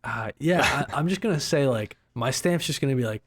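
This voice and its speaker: A man's voice: medium pitch, lively intonation, a little fast. A man co-hosting a podcast, chatting casually about video games and often repeating himself. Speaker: podcast co-host.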